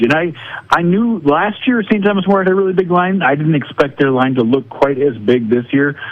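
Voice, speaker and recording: A man's voice: medium pitch, no echo, lo-fi sound. An American man giving casual sports analysis as a guest broadcaster on a radio show.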